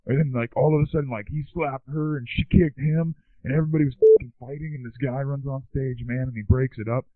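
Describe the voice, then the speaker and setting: A man's voice: medium pitch, fairly even intonation, fast talker, no echo. A man telling a rambling, casual story in a laid-back stoner drawl, filling every line with "dude" and "man". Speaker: recurring guest. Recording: tinny phone line.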